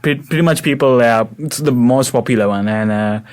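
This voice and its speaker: A young man with a medium-pitched voice hosts a casual interview show. He speaks informally, asking simple questions and explaining things in asides to the listeners. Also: brisk. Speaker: podcast host.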